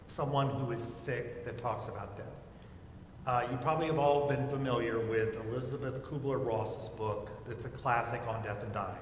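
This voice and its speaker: Older man, panelist, reflective talk, echoey space, faint rumble behind. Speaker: panelist, psychotherapist and former chaplain. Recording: echoey hall, rumbling background noise.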